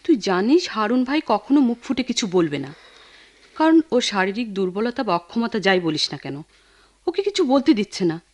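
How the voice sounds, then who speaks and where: A woman's voice: mid-range voice, very animated, moderate pace, a little mellow. A woman with a Bangladeshi accent playing an earnest, insistent close friend in a radio drama, persuasive and emphatic. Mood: urgent, scolding. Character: Jui, earnest, insistent close female friend urging sense. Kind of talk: Bengali radio drama acting.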